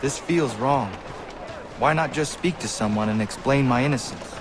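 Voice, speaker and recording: An actor playing an earnest, blunt and stubborn young warrior in training in a video game cutscene. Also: male voice, mid-range voice, normal speed, noisy background, natural voice. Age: young adult.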